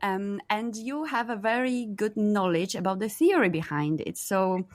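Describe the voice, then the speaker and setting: A woman's voice: mid-range voice, a little slow, dry recording. A woman hosting a podcast, a native Polish speaker, asking friendly questions. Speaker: podcast host. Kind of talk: podcast intro and interview.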